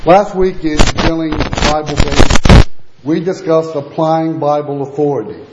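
A man's voice: fairly high pitch, fairly even intonation, unhurried, very noisy. A man preaching a sermon while losing his voice, teaching steadily and reading scripture aloud. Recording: echoey lo-fi room recording.

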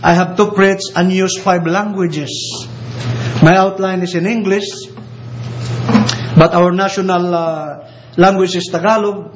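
A high-pitched man with a Filipino accent preaches in English, his first language being Ilocano. He pauses often on fillers and draws out the scripture he reads. The recording sounds dull and lo-fi, with a faint hum of room ambience behind him. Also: fairly even intonation. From Philippines. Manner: halting, frequent filler pauses, slows for scripture. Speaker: visiting preacher. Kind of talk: sermon with scripture reading.

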